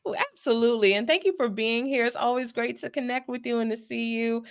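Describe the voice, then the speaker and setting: A woman's voice: a little high, brisk, clear, resonant tone. A woman hosting a live online event in an effusive, casual, chatty way. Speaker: host of an online celebration event.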